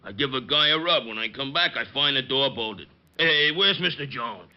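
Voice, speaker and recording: A man's voice: mid-range voice, lively intonation, normal speed, natural voice. Man playing a blunt bathhouse attendant, muffled radio. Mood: defensive, blunt. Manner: blunt working-class phrasing, indignant questioning. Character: gruff, puzzled male bathhouse attendant and masseur. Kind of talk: 1950s radio drama bit-part acting. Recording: muffled old-time radio recording.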